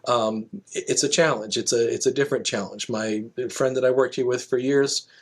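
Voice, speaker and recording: Middle-aged male interview guest, medium pitch, conversational and anecdotal, explains like an experienced manager. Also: fairly even intonation, normal speed. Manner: explanatory, illustrates with examples and quotes. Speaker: guest, air traffic control manager.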